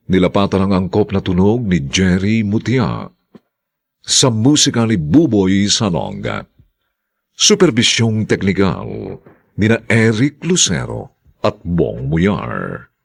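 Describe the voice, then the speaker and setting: A Filipino man narrates a radio drama with theatrical weight. His voice is low and gravelly, and he takes his time over each dramatic phrase. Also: no echo. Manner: theatrical, measured, weighty delivery.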